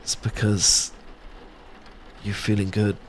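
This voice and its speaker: A British man acting a nervous, smitten young man in a romantic roleplay, stammering and halting earnestly as he talks. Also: lowish voice, little pitch movement, slight background noise. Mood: tender, embarrassed. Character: nervous, smitten young man confessing to his best friend.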